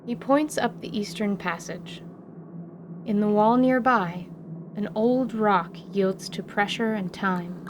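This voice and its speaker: A woman narrating a scripted fantasy tale in a measured, literary radio theatre style. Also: medium pitch. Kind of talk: scripted descriptive story narration. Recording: faint background noise.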